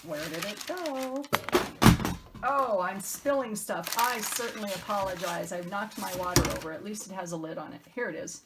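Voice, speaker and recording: A woman's voice: medium pitch, a little fast, few pauses, heavy background noise. A woman hosting a craft tutorial in a casual, chatty style, upbeat and effusive, thinking aloud as she works.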